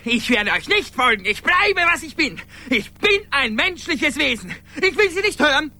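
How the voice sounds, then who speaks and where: An actor in a German radio play, playing a desperate, devoted everyman lover who pleads haltingly and repeats himself. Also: very high-pitched, big pitch swings, pushed and bright, full character voice. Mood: defiant, anguished.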